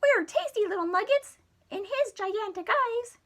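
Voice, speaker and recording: Woman as very high-pitched excitable little Martians. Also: moderate pace. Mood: frightened. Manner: excitable, exclaiming, chanting. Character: crowd of excitable hungry little Martian men. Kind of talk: children's storybook read-aloud.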